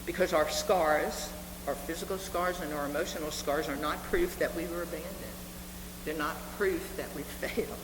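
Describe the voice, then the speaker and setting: A woman in her seventies delivering a sermon as a reverend, speaking earnestly and deliberately with repeated phrases for emphasis. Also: low-pitched, steady tone. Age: 70s. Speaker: reverend preaching to a congregation. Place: reverberant meeting hall with a congregation. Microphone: live speaking microphone. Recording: echoey hall, noisy room with hum.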